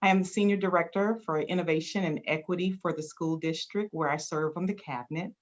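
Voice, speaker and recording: A woman's voice: on the low side, fairly quick, dull audio. A woman from Florida, a school district director, introducing herself on a panel with composed pride. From Florida, USA. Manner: proud, composed.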